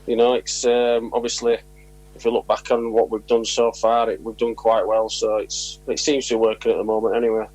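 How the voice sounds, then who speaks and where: A man's voice: on the low side, flat delivery, a little fast, a little mellow, rough recording. A man speaking as an assistant football manager in a casual interview, informal and matey in how he talks.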